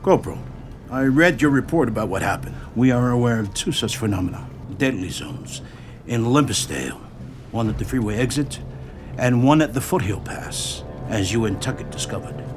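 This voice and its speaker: An actor playing a stern military commander in an audio drama, speaking with clipped authority. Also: male voice, medium pitch, animated, moderate pace, close to an everyday voice. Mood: commanding. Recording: clear music behind.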